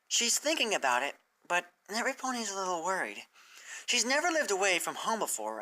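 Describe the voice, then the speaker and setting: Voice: medium pitch, sharp tone, voice pushed up, low-quality recording. A reader voicing an earnest, caring young adult female pony in a fan fiction story. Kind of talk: fan fiction character reading.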